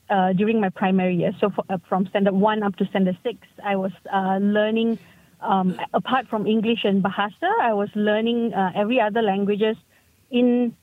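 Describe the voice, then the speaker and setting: A woman in her thirties calling in to a radio show, speaking in a halting, thoughtful way as she searches for her words. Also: medium pitch, no room sound. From Malaysia. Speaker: caller. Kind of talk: call-in radio chat. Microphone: phone. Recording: tinny phone line.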